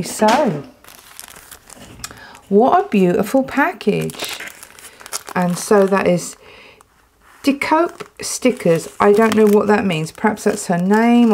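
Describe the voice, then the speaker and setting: An English woman chats through an unboxing at her table, excitable and rambling, with plenty of ums and self-corrections. Her voice carries a gravelly, fry-tinged edge and sits at a middling pitch. Her throat is a little dry as she talks. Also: slow, a little hiss or hum. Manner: chatty and excitable, with many ums and restarts. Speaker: planner vlogger. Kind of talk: casual unboxing chat. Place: indoors at a tabletop.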